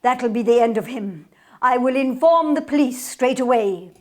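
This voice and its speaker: A woman's voice: a little high, lively intonation, normal speed, darkish tone, close to an everyday voice. A woman playing a protective, devoted older sister in a radio play, speaking formally and earnestly. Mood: resolute. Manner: formal, earnest period speech.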